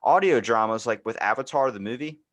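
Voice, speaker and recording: A man's voice: mid-range voice, expressive, a little fast, fairly bright tone. A man hosting a casual podcast chat in an effusive, sweary way, full of bro-ish slang. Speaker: podcast host.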